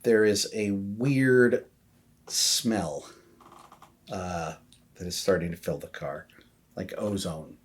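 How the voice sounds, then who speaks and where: A man acting as game master, improvising narration in a halting, conversational way. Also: low-pitched.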